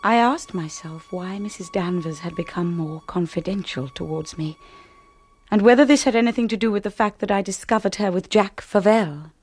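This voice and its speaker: An actress playing a timid, unsure young wife in a radio drama, soft and hesitant as she narrates and converses. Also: mid-range voice, moderate pace, faint music behind, natural voice. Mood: reflective.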